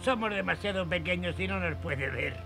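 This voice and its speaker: Gravelly high-pitched cartoon creature. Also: male voice, expressive, moderate pace. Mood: dramatic. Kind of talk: animated cartoon dubbing. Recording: music behind the voice.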